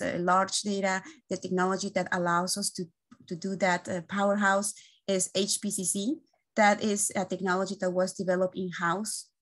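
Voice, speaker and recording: A woman, giving an earnest, halting webinar presentation as an engineering team lead, often pausing on "uh", over a video call from an indoor room. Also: mid-range voice. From Guatemala. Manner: earnest, halting, with frequent "uh" fillers and restarts. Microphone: video call webcam.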